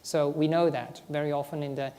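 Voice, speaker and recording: A woman with a German accent, a Buddhist monastic co-teaching a workshop, explaining in a halting way with frequent "um" and restarts. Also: sits low, steady tone, warm tone. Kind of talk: workshop discussion. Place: Buddhist centre hall.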